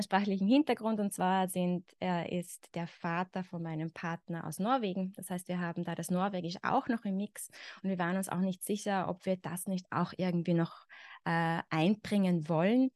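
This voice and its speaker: Woman in her thirties, Austrian German accent, lowish voice, podcast guest. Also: fairly even intonation, moderate pace, dry recording, clean studio sound. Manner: reflective, fills with "genau" and "ja". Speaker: guest expert. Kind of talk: podcast conversation.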